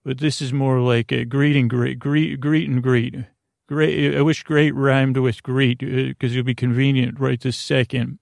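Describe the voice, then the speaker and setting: Male sleep-podcast host, gravelly creak, dry close sound, halting and gently silly, drifting off on tangents. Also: medium pitch, little pitch movement, no echo. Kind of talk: rambling bedtime monologue meant to lull listeners to sleep.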